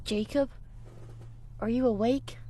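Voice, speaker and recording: Casting: young child survivor, earnest, eager, a bit hesitant, game-drama dialogue. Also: female voice, fairly high pitch, steady tone, takes it slowly, dark tone, a little hiss or hum. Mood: earnest, shy.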